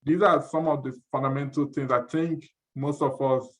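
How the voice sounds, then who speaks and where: A man from Nigeria presenting at an online info session, explaining tools casually and often repeating words as he goes. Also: medium pitch. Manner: relaxed, halting with repeated words.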